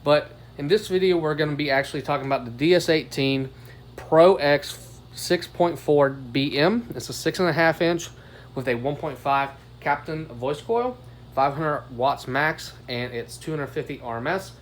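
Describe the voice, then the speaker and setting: A man's voice: a little high, normal speed. An American man giving a casual product demo as a reviewer, recorded on a phone microphone. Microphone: phone above the bench.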